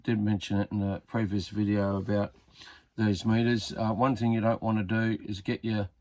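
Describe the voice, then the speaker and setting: Australian male, casual how-to presenter, creaky voice, halting matter-of-fact delivery, close dry sound. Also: on the low side, darkish tone, no room sound. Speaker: radio repair hobbyist presenter. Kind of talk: casual hands-on tutorial commentary.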